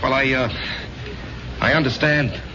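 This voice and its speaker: An actor playing a troubled ex-soldier marked by fate, hesitant and evasive, in a seventies radio drama. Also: male voice, medium pitch, steady tone, unhurried, a crowd in the background, close to an everyday voice. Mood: resigned. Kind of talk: 1970s radio drama acting. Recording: dull, noisy vintage radio broadcast.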